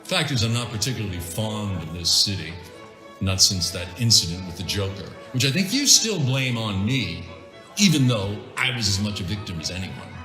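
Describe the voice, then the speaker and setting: A man's voice: fairly low pitch, sing-song, normal speed, echoey room, over music. A man voicing a smug, urbane villainous tycoon who talks in a suave, condescending way in an audio comic drama.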